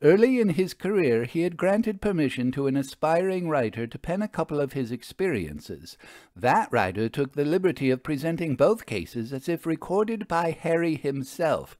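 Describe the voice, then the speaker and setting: A man's voice: medium pitch, big pitch swings, moderate pace, a little mellow, no echo, clean studio sound, voice pushed up, natural voice. A man voicing a spirited, opinionated older woman who investigates ghosts, speaking with theatrical emphasis in a story reading. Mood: reminiscing, earnest. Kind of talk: audiobook character dialogue.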